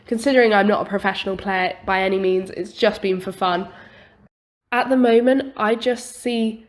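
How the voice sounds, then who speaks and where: Croaky young Englishwoman chatting casually. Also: mid-range voice, moderate pace. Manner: chatty, relaxed, many fillers and "quite". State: croaky from illness. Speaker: game building youtuber. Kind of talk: voice-over commentary on a building video.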